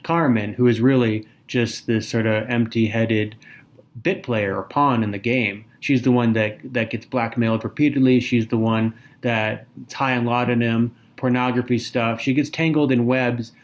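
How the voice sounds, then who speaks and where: A man's voice: mid-range voice, fairly even intonation, normal speed. Articulate man analyzing a novel. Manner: articulate, analytical, with occasional hesitations. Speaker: podcast co-host. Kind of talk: casual book discussion.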